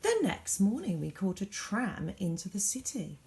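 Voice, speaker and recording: Expressive woman storyteller with lively pitch. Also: vocal fry. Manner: dramatic, varied emphasis with deliberate pauses. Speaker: teacher reading a storybook aloud. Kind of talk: expressive children's storybook reading.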